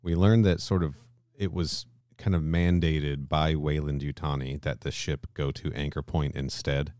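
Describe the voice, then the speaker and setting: A man's voice: extremely low pitch, steady tone, normal speed, darkish tone, no echo, studio quality. A man co-hosting a podcast in a casual discussion style, swearing freely and often checking in with questions. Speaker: podcast co-host.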